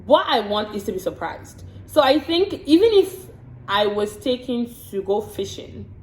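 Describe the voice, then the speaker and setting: A woman's voice: on the high side, very animated, a little slow. A woman from the Congo answering get-to-know-me questions in a casual vlog, frank and chatty, often repeating words while she thinks. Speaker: vlogger and hair and makeup artist. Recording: roomy echo with faint background hiss.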